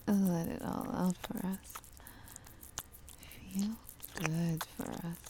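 Breathy, slow whispering woman, adult ASMR. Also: lowish voice, fairly even intonation, slight background noise. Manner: mostly breathy whisper, slow, drawn-out, soothing with soft hums. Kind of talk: sexually explicit whispered roleplay audio, ASMR.

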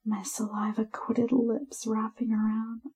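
Woman, intimate adult narration, hushed and lingering, husky, soft mellow tone, often whispered, breathy sighs. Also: fairly high pitch, level tone. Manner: slow, hushed, lingering delivery broken by sighs and moans. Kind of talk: intimate erotic audio narration, slow and breathy.

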